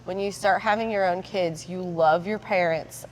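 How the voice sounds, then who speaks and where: A woman's voice: on the low side, steady tone, normal speed, rough recording. Chatty woman in her thirties. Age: 30s. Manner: chatty, laughs often. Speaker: podcast host. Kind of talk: casual podcast chat. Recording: faint background noise.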